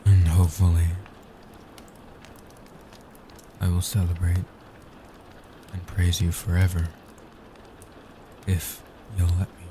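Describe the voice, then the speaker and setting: A man playing a gentle, protective ancient vampire guardian in a soft-spoken comfort audio roleplay, talking slowly and soothingly with formal, solemn phrasing. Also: monotone, noisy background. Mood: tender, soothing. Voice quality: soft, hushed, dark low voice.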